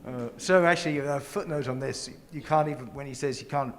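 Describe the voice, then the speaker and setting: A man hosts a question session with a concert audience, joking and chatting with his guest. His voice sits somewhat higher than most men's. His style is casual and relaxed. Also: a little fast, in a large hall. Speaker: host of the audience Q&A. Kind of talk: casual onstage conversation.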